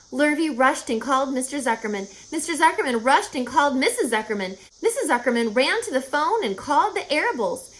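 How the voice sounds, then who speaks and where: A woman reading a children's story aloud in an expressive, emphatic and explanatory way. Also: a little high. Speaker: storybook reader. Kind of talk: animated children's read-aloud narration. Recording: roomy echo with faint background noise.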